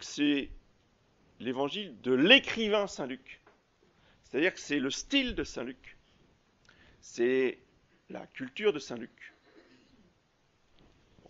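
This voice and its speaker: A man preaching a sermon as a priest, halting often with fillers and restarts. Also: mid-range voice, fairly bright tone, muffled recording. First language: French.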